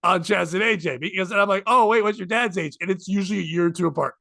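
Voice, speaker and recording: Forties guy, high gravelly voice, rambly and sweary podcast chat, dry sound. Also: fast talker, bright, forceful tone, no echo. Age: 40s. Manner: candid, rambling, swears freely. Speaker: podcast host. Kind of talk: casual chat.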